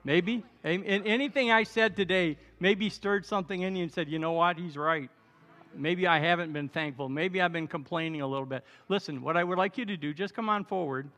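A man's voice: sits high, brisk. A man of seventy preaching as a pastor, exhorting the congregation in repeated declarations of thanks and praise. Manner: exhorting, repetitive declarations, breaking into shouted praise. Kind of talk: sermon and altar call. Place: large church hall. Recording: hall reverb with music behind.

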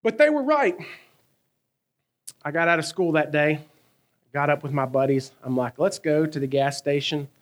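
A man, preaching a sermon in a conversational, animated way, asking his listeners questions and telling stories from his own life. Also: a little high, moderate pace, pauses often. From American South. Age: 30s. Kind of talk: sermon with personal storytelling.

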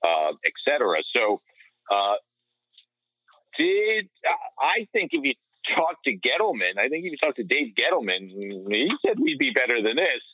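A man's voice: medium pitch, wildly swooping pitch, normal speed, no room sound. A man giving casual, conversational sports analysis as a podcast co-host, on lo-fi audio. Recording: dull, lo-fi remote audio.

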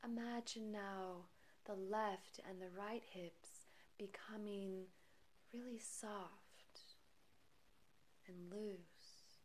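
A woman leading a guided meditation in a soft, breathy voice, calm and soothing, with long pauses between phrases. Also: medium pitch, slight background noise. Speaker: meditation guide and podcast host.

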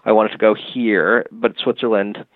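A man's voice: lowish voice, steady tone, normal speed, no echo. A man being interviewed on a podcast, explaining a product casually and hesitantly with many fillers like "you know" and "um". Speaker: guest, music marketing tech company. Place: Boston. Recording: dry, phone-like narrow band.

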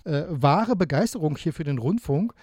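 A man's voice: a little high, animated, normal speed, a little mellow, slightly rough, dry recording. A man hosting a live radio show, chatting spontaneously with studio visitors. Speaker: radio host.

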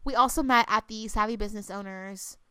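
Woman in her twenties, bright and crisp voice, chatty with lots of fillers, slightly congested, casual podcast chat. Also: mid-range voice, fairly quick, no room sound, studio quality. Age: 20s. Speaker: podcast co-host.

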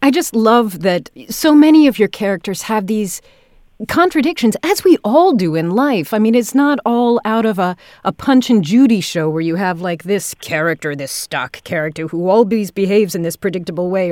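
A woman's voice: mid-range voice, normal speed, a little mellow, dry recording, studio quality. A woman hosting a casual interview podcast, speaking effusively and thoughtfully, feeling her way through her phrases. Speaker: podcast host, director.

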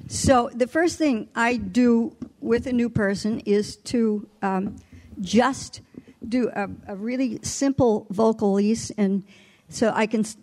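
A woman with a fairly high voice leads a live voice lesson on stage. She speaks in a calm, measured way and encourages her volunteer. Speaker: voice teacher. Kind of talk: live teaching demonstration.

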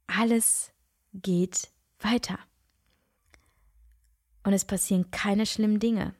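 Nasal-sounding woman with a gravelly edge. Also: mid-range voice, dry recording, studio quality. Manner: earnest, searching, many restarts and soft asides. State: nasal from a cold. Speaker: podcast host and coach. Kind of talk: reflective solo podcast monologue.

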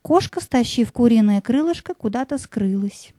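A woman's voice: fairly high pitch, animated, moderate pace, warm tone, no room sound, studio quality. A woman narrator telling a scripted fairy tale as part of an audio play.

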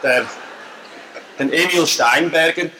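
A man with a Swiss German accent giving a formal lecture at a conference, measured and dryly humorous. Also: on the high side, heavy background noise. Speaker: conference speaker. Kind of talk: academic lecture. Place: lecture hall.

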